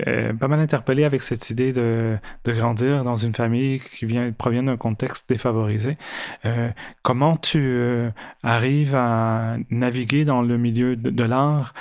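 Guy hosting an interview, halting with lots of fillers, dry close sound. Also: mid-range voice, no echo. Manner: halting, self-correcting with fillers. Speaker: interview host. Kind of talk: interview questions.

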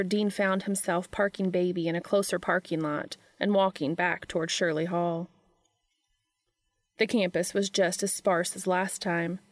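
A woman's voice: mid-range voice, no room sound, studio quality. A woman reading a story aloud as a scripted audiobook narration. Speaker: fan fiction reader.

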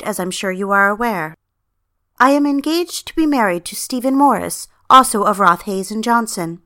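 A woman's voice: on the high side, a bit bright. Woman narrating a confessional story. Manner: confiding, addresses the reader directly. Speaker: narrator and lead character. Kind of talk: first-person dramatic story narration.

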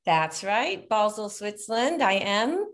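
A middle-aged woman with an American accent, a business founder guest on a podcast call, telling her story in an animated, chatty way. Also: medium pitch, takes it slowly. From United States. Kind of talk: casual interview over a call.

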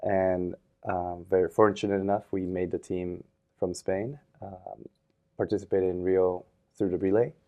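A man in his thirties, a podcast guest, talking in a thoughtful, relaxed way with many fillers and restarts. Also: low-pitched, a little slow, dull audio. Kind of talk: casual interview.

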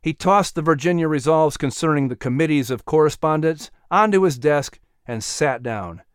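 Clear, smooth male storyteller. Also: fairly high pitch, normal speed, no room sound, clean studio sound. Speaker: audiobook narrator. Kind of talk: dramatic scripted children's story narration.

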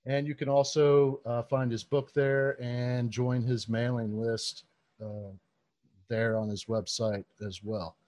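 A man's voice: mid-range voice, flat delivery, slow, a little mellow. A man hosting a podcast, reading his guest's introduction and then asking questions with frequent fillers. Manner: reads a guest bio, then chats with fillers. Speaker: podcast host.

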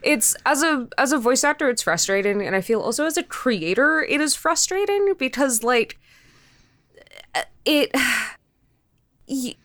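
A woman's voice: high voice, lively intonation, measured pace, fairly bright tone, no echo. A woman speaking casually and frankly as a guest creator in a podcast interview.

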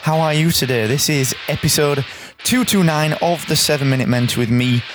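A man hosting his own podcast, talking in an energetic, chatty way with a hoarse, croaky voice. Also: a little high, brisk, over music. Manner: energetic, chatty, repeats words. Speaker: podcast host. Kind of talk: straight-talking solo podcast.

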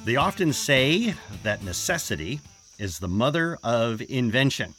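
A man hosting an interview show, introducing his guest in an upbeat, energetic way and often restating his questions. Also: medium pitch, a little slow, soft background music. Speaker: podcast host.

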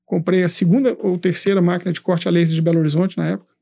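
Gravelly high-voiced man. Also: racing, no room sound. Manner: animated, swears, acts out his own past thoughts. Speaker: entrepreneur guest. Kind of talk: casual interview storytelling.

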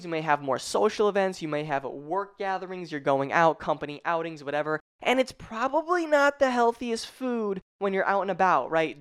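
A woman's voice: on the low side, clean tone. Stuffy-nosed, hyped woman fitness coach. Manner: hyped, swears casually, addresses viewers by name. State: congested, a little stuffy. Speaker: fitness coach hosting a live training. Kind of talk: energetic live-stream coaching talk.

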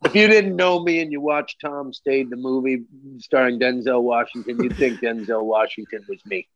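A man's voice: medium pitch, normal speed, lo-fi sound. A man chatting casually as an interview guest, joking around in an irreverent, sweary way.

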